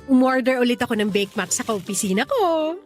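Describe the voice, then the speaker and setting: An actress plays a young Filipino woman living next door in a radio drama. Her voice sits high, and she is considerate but stands her ground. Soft music runs underneath. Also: lively intonation, a little fast, close to an everyday voice. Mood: friendly, teasing. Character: Celia, considerate but insistent female neighbour with complaints.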